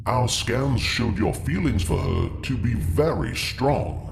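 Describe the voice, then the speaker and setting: An actor playing a cold, imperious alien commander in an audio drama, speaking in formal, stilted phrases. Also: male voice, medium pitch, expressive, takes it slowly, creaky, roomy sound, background noise. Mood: probing, puzzled. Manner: formal, clipped, stilted speech without contractions.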